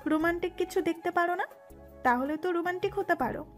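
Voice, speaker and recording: A woman's voice: very high-pitched, fast. A woman playing a bossy, clingy young new wife in a romantic audio story, with a pouty, teasing, scolding way of talking. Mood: scolding, demanding. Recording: voice with background music.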